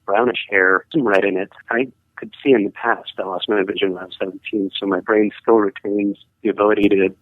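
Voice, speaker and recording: A man's voice: on the low side, steady tone, no room sound. Rambling man on a tinny phone line. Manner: halting, rambling, full of hedging fillers. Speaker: caller sharing a ghost story. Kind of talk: casual storytelling. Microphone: telephone.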